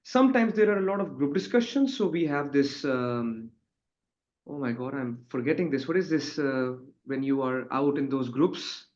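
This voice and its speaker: A man's voice: mid-range voice, lively intonation, moderate pace, darkish tone. A man speaking informally as a student on a panel, rambling a little and adding tag questions. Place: online webinar call. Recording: dull, muffled online call audio.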